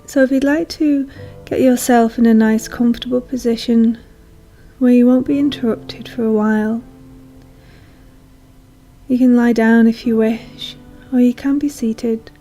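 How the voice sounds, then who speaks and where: A woman leads a guided meditation in a soothing, hushed manner, leaving long pauses. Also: a little high. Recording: soft music behind voice. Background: calm meditation music.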